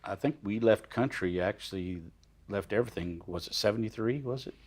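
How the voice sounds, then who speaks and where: A man in his sixties hosting a TV studio interview, asking leading questions in a halting way with many pauses. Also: on the low side, a little mellow, husky. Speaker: host.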